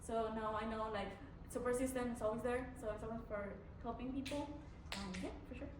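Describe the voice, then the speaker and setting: A woman's voice: fairly high pitch, fairly even intonation, a little fast, heavy background noise. A young woman from Mexico, a native Spanish speaker with a Mexican accent, answering a panel's questions as a student candidate, hesitant with many fillers and restarts. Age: young adult. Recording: echoey room, from far off.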